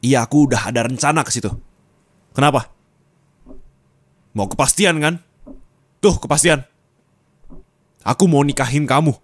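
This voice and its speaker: A young man speaking an ASMR roleplay monologue as a disappointed boyfriend, low and intimate, scolding and at times rising to anger. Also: normal speed, pauses often.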